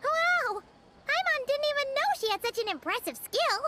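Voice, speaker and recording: Squeaky excitable little fairy sidekick. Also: female voice, moderate pace. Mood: excited, teasing. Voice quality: squeaky, childlike. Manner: speaks of self in third person, exclaiming. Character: tiny excitable floating fairy companion, childlike, cheeky. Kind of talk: anime game voice acting.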